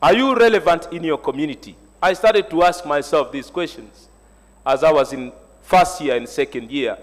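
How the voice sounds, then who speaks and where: A man's voice: on the high side, normal speed. A man from Tanzania, a Swahili speaker, giving an earnest, reflective panel speech at a university symposium. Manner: motivational, reflective, personal storytelling. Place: large university hall. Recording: echoey hall reverb.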